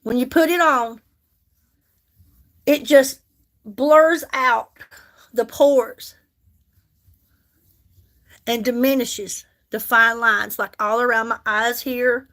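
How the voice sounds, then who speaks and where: Slow, high-voiced woman in her fifties. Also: fairly even intonation, a bit bright. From United States. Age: 50s. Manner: chatty, reads and answers viewer comments, repeats herself for emphasis. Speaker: makeup livestream host. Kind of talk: casual livestream chat while doing makeup.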